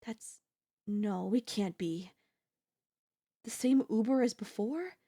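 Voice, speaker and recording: A woman's voice: mid-range voice, slow pace, dark tone. A woman playing an obsessive, scheming yandere in a theatrical, haughty manner with formal phrasing, in an ASMR audio roleplay. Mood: stunned, smitten.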